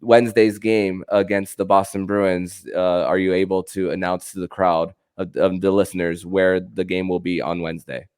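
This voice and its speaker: A man's voice: sits low, flat delivery, normal speed, few pauses, a little mellow, clean tone. A man hosting a sports podcast in a conversational style.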